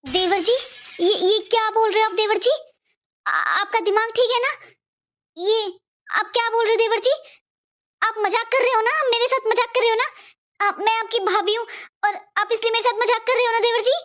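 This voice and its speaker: A woman's voice: extremely high pitch, moderate pace, fairly bright tone. A woman voicing a devoted, respectful young sister-in-law in a cartoon family drama, speaking politely and emphatically with repeated phrases. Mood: shocked.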